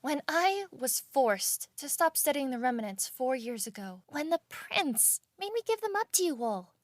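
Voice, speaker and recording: A woman's voice: high voice, dry recording, clean studio sound. A woman playing an earnest, insistent scholar of magic in an elite mercenary band, explaining things in an audio drama.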